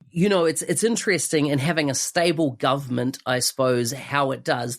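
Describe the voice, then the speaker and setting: A man's voice: medium pitch, gravelly. A man hosting a podcast interview, chatting casually and asking open questions. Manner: conversational, asks open questions. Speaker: podcast host.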